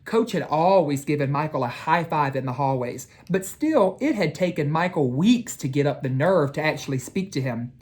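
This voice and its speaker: A woman educator reading a storybook and talking to children, in a deliberate, emphatic manner. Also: sits low, animated, a little mellow. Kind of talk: storybook read-aloud and talk to children.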